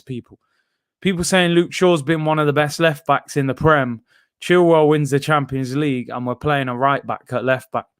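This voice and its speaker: A man's voice: on the high side, smooth, dry recording, clean studio sound. A young man hosting a casual live stream, chatting loosely and reading out viewer comments. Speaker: watchalong host reading chat.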